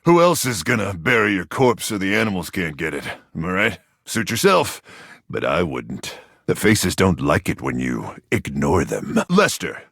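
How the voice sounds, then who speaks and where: An actor voicing a brash, hot-headed American video game character, a folksy microwave turned rambling soldier, full of bluster and slang. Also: male voice, mid-range voice, creaky, sharp tone, no echo, clean studio sound, heavily acted.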